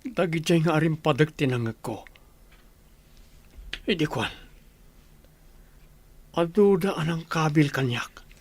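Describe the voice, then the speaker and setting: Bewildered, lightly high-voiced man, acted out. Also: lively intonation, slow pace, long pauses, slightly rough, no echo, heavily acted. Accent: Ilocano. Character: bewildered man slowly regaining his lost memory. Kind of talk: Ilocano radio drama acting.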